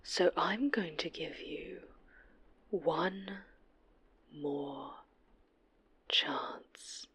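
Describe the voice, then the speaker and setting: A woman voicing an obsessive, vengeful superhero villain in a horror ASMR roleplay, sweetly menacing and breathy, often slipping into whispers. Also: on the low side, drawn-out words, pauses often, fairly bright tone, heavily acted. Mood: tender, threatening.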